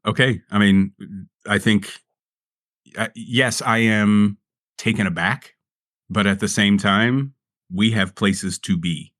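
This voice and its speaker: A man's voice: lowish voice, slow, a bit bright, no echo, studio quality. A man playing a tabletop role-playing game, chatting casually in slangy, sweary language.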